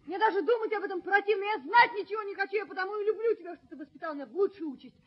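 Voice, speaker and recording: Radio play: willful rich daughter, young, extremely high voice, haughty temper, dull old recording. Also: female voice, very fast. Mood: defiant, disdainful. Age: young adult. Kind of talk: Soviet radio play acting.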